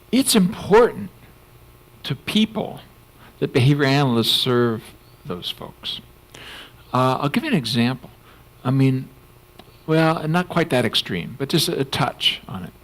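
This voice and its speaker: Animated older man with a gravelly voice. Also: a little high, rough recording. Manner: animated, laughing, mock voices for emphasis. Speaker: panel speaker, clinical psychologist. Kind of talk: conference panel discussion.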